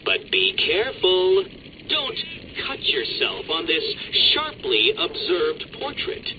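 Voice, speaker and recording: Manic high-pitched gloating villain. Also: male voice, huge pitch swings, takes it slowly, full character voice. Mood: condescending. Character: gloating egomaniac male villain, manic riddle-posing genius. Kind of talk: video game voice acting. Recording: muffled comms audio over noisy ambience.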